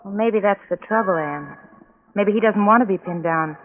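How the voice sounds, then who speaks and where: A woman's voice: mid-range voice, normal speed, lightly acted. An actress playing a practical, loyal young woman in a 1940s radio drama, speaking in an earnest, coaxing manner. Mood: concerned, coaxing. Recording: tinny band-limited old radio recording.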